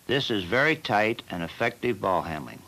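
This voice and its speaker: A man narrating a football training film as the team's coach, in a measured and plain instructional manner. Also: lowish voice, sing-song, vocal fry, sharp tone, low-quality recording.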